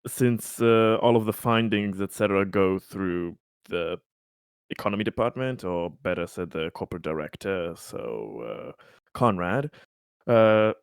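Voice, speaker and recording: A man chatting casually as a tabletop game player, haltingly and often repeating words as he interjects. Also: low voice, gravelly, clear, resonant tone, no room sound, clean studio sound.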